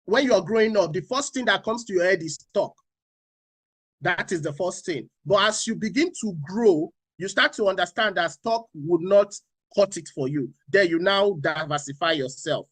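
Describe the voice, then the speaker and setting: A man's voice: high voice, normal speed, a bit bright, no room sound. A man from Nigeria, speaking as a finance panelist on a talk show, repeating key phrases and asking rhetorical questions.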